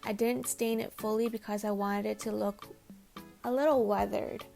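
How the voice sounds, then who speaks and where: A woman's voice: medium pitch, steady tone, normal speed, creaky, mellow. A woman whose first language is Tamil gives a casual, chatty, self-deprecating voice-over for a home makeover video. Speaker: DIY home makeover vlogger. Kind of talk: scripted voice-over narration. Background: faint background music.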